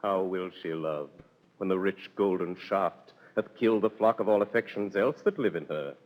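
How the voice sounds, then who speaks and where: Man acting a deep, lovesick noble duke. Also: moderate pace, close to an everyday voice. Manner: grand, yearning. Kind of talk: 1930s radio Shakespeare acting. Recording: dull old radio, long reverb.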